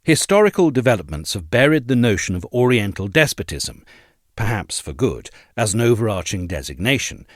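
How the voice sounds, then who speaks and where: Male narrator for long-form essay: lowish register, expressive pitch movement, formal and articulate, recorded dry. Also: brisk, dry recording. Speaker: narrator reading an essay aloud. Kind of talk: scripted narration of a political essay.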